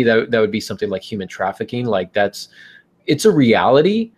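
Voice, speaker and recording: Low-voiced man talking through ideas haltingly. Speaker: podcast host giving writing advice. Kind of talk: casual, reflective podcast discussion.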